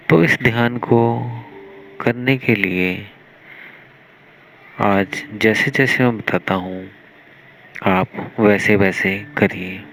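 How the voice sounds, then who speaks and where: A man with an Indian accent leading a guided meditation in a measured, instructional way, pausing between short phrases. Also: lowish voice, vocal fry, fairly bright tone, slight background noise, rough recording. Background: music.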